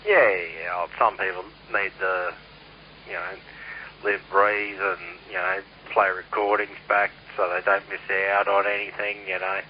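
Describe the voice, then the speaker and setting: A man's voice: low voice, flat delivery, unhurried. An Australian man chatting casually over CB radio in a blunt, rambling, sweary manner. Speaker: CB radio operator. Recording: muffled, noisy CB radio transmission.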